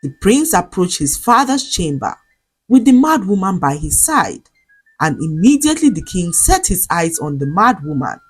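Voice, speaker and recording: Nigerian lady telling a folktale, voice a bit husky, really swoopy and dramatic, music behind her. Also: on the low side, big pitch swings, moderate pace. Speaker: storyteller. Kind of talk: scripted folktale narration.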